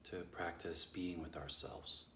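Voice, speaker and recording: Deep-voiced man guiding a meditation. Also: steady tone, pushed and bright, reverberant, a little hiss or hum. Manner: measured, unhurried, with long pauses. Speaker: meditation guide. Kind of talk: guided meditation, slow instruction.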